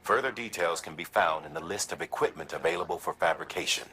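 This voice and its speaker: An actor playing a stern, measured male mission commander giving formal, deliberate briefings in a video game. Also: low-pitched, bright, forceful tone, a little hiss or hum. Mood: measured, instructive.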